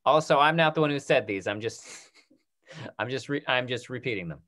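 A man around his thirties moderating a casual question-and-answer session on a video call, restarting phrases in a halting way. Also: medium pitch, lively intonation, a bit bright. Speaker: moderator of a panel discussion. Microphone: video call computer microphone.

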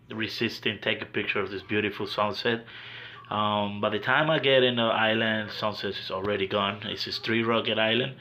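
Man with a bright, edgy voice on noisy camera audio. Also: lowish voice. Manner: halting, many fillers and swearing. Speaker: fishing vlogger. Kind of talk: casual vlog narration. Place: outdoors on a small boat, much of it at night. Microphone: handheld camera.

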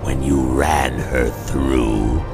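A man's voice: a bass voice, fairly even intonation, very slow, creaky, music in the background, heavily acted. A man playing a sly, mocking villainous necromancer in a game cutscene, with a taunting, sinister and menacing delivery. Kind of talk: video game cutscene acting.

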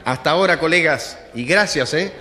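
An actor playing a courteous, formal radio reporter giving measured live coverage in a radio drama. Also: male voice, fairly high pitch, natural voice. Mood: businesslike. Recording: crowd murmur behind voice.

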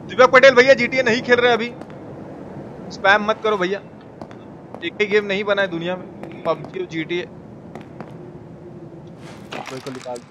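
A young man doing casual, slangy live game commentary, repeating his phrases excitedly and chatting with viewers. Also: high-pitched, normal speed, long pauses, background noise. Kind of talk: casual gameplay commentary with chat replies.

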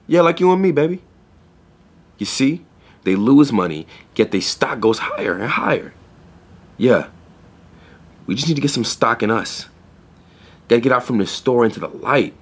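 An actor playing a young, patient pet store clerk in a podcast drama. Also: male voice, medium pitch, lively intonation, normal speed, long pauses. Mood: upbeat, tender. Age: young adult. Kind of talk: podcast audio drama acting.

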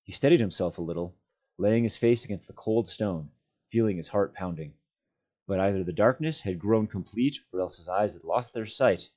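A man's voice: on the low side, normal speed, a little mellow, muffled recording. A man reading a fantasy novel aloud as a storyteller, a volunteer reader narrating.

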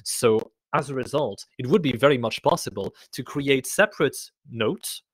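A man hosting a live online conference Q&A, chatty and rambling, his voice getting raspy. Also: mid-range voice, expressive, normal speed, dry recording, rough recording. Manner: chatty, rambling, self-correcting. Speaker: conference host. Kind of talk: casual live Q&A moderation.

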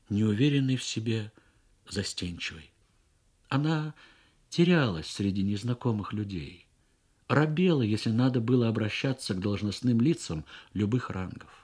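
A man with a husky, mid-pitched voice narrates a literary story in the manner of a Soviet radio reading. He goes phrase by phrase, expressively and with deliberate pauses. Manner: measured, expressive, pausing between short phrases. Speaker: reader of a radio short story.

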